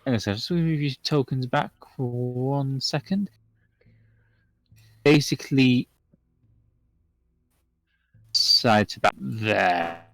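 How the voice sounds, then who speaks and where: A man running a tabletop game as game master, narrating in a loose, relaxed way with frequent restarts. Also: mid-range voice, slow, vocal fry, long pauses, no room sound.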